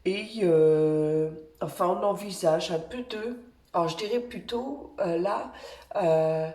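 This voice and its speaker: Slow, soft-spoken woman with a cold. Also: lowish voice, echoey room. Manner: gentle, reassuring, pauses often while drawing cards. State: has a cold. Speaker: energy healer giving weekly card guidance. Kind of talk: slow, hesitant spoken guidance with many fillers.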